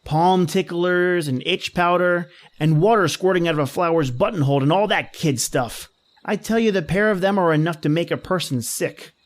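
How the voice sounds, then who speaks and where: A man's voice: sits high, normal speed, lightly acted. A man playing a grumbling, slangy young fiancé in an old-time radio drama, with a wry, exasperated manner. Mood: exasperated, sardonic. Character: grumbling wisecracking young fiancé dreading his boss-lady's party. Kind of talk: 1930s radio drama acting.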